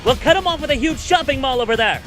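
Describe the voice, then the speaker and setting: A woman's voice: sits high, expressive, fast talker, a bit bright, soft background music. A woman voicing a keen young adventurer in a video game, eager and dutiful. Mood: urgent. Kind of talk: video game cutscene acting.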